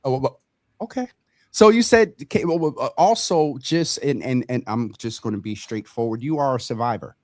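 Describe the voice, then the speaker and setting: A man hosting a live community talk show in a conversational, halting way, often repeating words and circling around his point. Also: mid-range voice, no room sound, clean studio sound.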